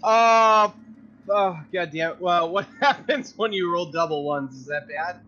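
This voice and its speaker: Male tabletop player, bright and sweary, on a lo-fi, faintly noisy recording. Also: sits high, unhurried. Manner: profane, exclamatory. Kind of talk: casual game chat. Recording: dull lo-fi mic with faint noise.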